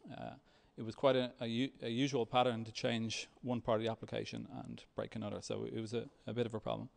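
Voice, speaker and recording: A man giving a software talk with a live demo, speaking in a halting, hesitant way. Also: mid-range voice, little pitch movement, gravelly. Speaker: software developer presenting at a tech meetup.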